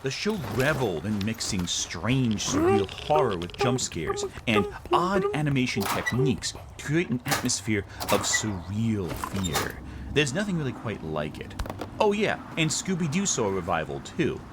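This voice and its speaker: A man's voice: mid-range voice, very animated, moderate pace, heavy background noise. A man reading scripted video-essay narration.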